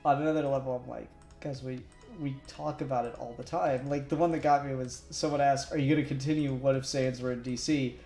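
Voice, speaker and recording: A man's voice: medium pitch, steady tone, a little fast, vocal fry, hardly any pauses, mellow. A man co-hosting a casual livestream, rambling and laughing as he thinks aloud while doing 3D modelling. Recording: echoey room with faint music. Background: faint music.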